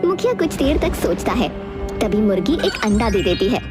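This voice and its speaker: A woman's voice: mid-range voice, big pitch swings, moderate pace. Woman narrating a folk tale over music. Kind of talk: scripted storybook narration. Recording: loud music under the voice.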